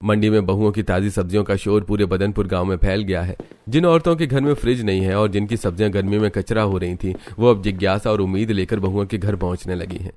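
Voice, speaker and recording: A man's voice: lowish voice, quick pace, few pauses, a little mellow. A man reading scripted narration for a cartoon story in the present tense.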